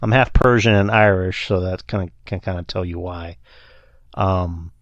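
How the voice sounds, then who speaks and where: A man's voice: low voice, steady tone, normal speed, dry recording, lo-fi sound. A man co-hosting a podcast Q&A in easygoing chat, joking and rambling with many fillers. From Texas. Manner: joking, loose, full of fillers. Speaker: podcast co-host.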